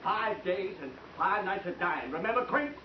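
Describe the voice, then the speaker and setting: A man's voice: high-pitched, lively intonation, moderate pace, recorded from a distance, noisy background. A vengeful frontier gunman. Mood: menacing. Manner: taunting, menacing drawl. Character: bitter vengeful frontier gunman, cowardly at heart. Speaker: Patchen. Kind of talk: 1950s radio drama acting. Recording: dull echoey old radio recording, off-mic.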